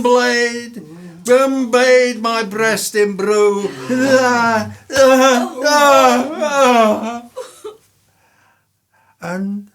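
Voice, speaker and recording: A performer playing an overwrought, dying lady lover in a radio play, declaiming verse in a melodramatic, drawn-out way. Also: mid-range voice. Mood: wailing, dying. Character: amateur actor playing overwrought lamenting lover Thisbe, dying woman.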